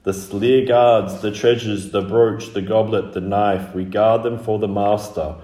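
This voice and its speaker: Man as age-old guardian spirit, dry, ominous, slow chanting, storybook creature, roomy echo. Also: fairly low pitch, steady tone, warm tone, far from the mic, lightly acted. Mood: solemn, menacing. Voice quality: dry, ancient-sounding.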